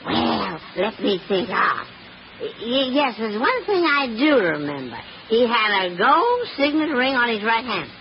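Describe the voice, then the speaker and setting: A woman's voice: on the high side, big pitch swings, measured pace. Old English lodging-house landlady, blunt working-class grumbler, 1940s radio drama, dull hissy sound. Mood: recollecting. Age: older. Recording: muffled, noisy old radio recording.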